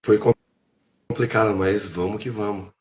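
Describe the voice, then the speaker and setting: A hoarse man from Curitiba with a Brazilian Portuguese accent, co-hosting a casual live chat in a relaxed, jokey way. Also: fairly low pitch, moderate pace. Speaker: live show co-host.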